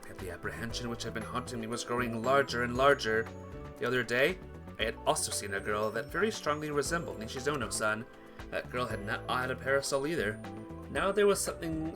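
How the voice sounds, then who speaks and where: A man's voice: medium pitch, lively intonation, fairly quick, music in the background. A man reading visual novel text aloud during a playthrough, sometimes stumbling and rereading phrases. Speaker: video game player reading aloud.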